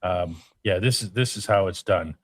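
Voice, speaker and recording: A man's voice: low voice, normal speed, no room sound. A man hosting a live sports podcast, reading viewer comments in a firm, emphatic way. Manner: firm, emphatic, repeats himself for stress.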